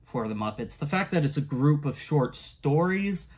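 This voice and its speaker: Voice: mid-range voice, animated, normal speed, a little mellow, husky. Hesitant, rambling casual book reviewer. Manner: rambling and hesitant, often trailing off and chuckling.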